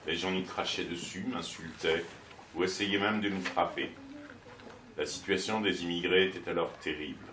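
An older man reading a text aloud at a bookshop event, steady and measured. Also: low voice, background noise. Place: bookshop, indoors. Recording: echoey shop from far off, chatter.

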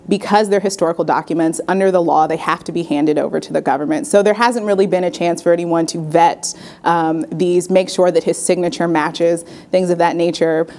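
Young female co-host, low voice, earnest opinion delivery in studio. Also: darkish tone. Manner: earnest, argues her point with fillers. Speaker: news show co-host. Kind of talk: news commentary. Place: TV studio desk. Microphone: lapel mic.

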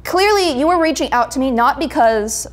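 A young woman chatting casually as an interview guest, animated and acting out conversations as she tells stories. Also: high-pitched, moderate pace. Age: young adult. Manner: animated storytelling, acting out reported dialogue. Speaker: comic creator, interview guest. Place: indoor brick-walled studio room.

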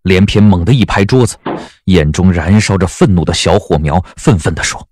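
A man narrating an audiobook drama in a measured, dramatic storytelling manner. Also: sits low, darkish tone, dry recording, clean studio sound.

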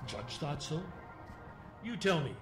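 An actor playing a gentle, grave old trapper in a radio drama, speaking in terse, cryptic, folksy phrases. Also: male voice, on the high side, sing-song, slow, echoey room, over music. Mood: grave, confiding. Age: older.

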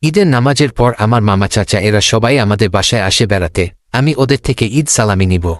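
A man voicing a friendly, money-minded young boy in a children's cartoon, speaking in an animated way. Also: fairly low pitch, quick pace, no echo, clean studio sound, natural voice. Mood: earnest.

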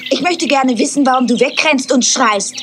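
A woman's voice: sits high, normal speed, very noisy, natural voice. A woman voicing a curious, boastful young male squirrel in a children's audio drama. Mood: cocky. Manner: lively, chirpy, boastful.

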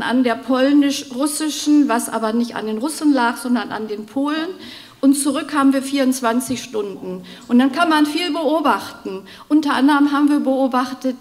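A middle-aged woman from Germany, standing in the audience of a large hall and making an earnest, hesitant comment into a stand microphone. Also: fairly high pitch. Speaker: audience member.

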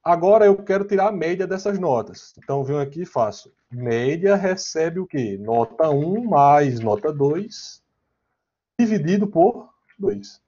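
Husky Brazilian man teaching, dull audio. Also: fairly high pitch, lively intonation, a little slow, dark tone. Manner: conversational, often checking with question tags. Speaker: programming teacher. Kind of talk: informal online lecture with slides and live coding. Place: online class, screen share. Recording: dull, lo-fi online class audio.

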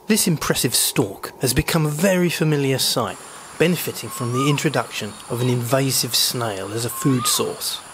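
A man narrating a scripted voice-over in a calm, informative manner. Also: medium pitch, normal speed, a little hiss or hum. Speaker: birding vlogger.

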